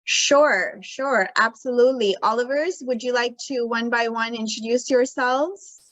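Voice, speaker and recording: A woman's voice: fairly high pitch, unhurried, a bit bright, no echo, low-quality recording. A woman in her thirties hosting an online community session over a video call, hesitant and full of fillers. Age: 30s. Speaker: host.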